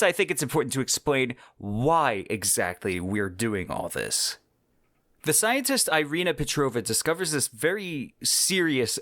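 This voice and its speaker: A man's voice: mid-range voice, fairly bright tone, dry recording, clean studio sound. A man hosting a podcast, explaining a science fiction novel in a casual, conversational way with filler words. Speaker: podcast host. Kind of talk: solo book review.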